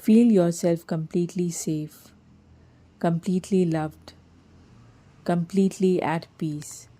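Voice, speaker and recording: Slow, mellow woman guiding meditation. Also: on the low side, fairly even intonation. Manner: slow, soothing, gently measured delivery. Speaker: meditation guide. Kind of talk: scripted guided meditation narration.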